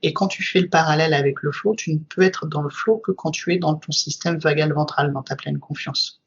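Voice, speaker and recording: Casual lo-fi podcast guest, a woman with a husky, breathy voice, explaining her subject in long, flowing stretches, lots of "euh" fillers, recorded on muffled, dull audio. she sounds like she's talking with someone she's comfortable with. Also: sits low, steady tone, a little fast. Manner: explains at length with frequent fillers. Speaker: guest, trainer.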